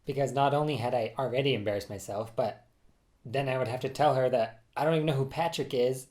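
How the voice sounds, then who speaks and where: A man's voice: mid-range voice, fast, a little mellow. A man casually telling a personal story in a chatty, self-deprecating way, often laughing at himself.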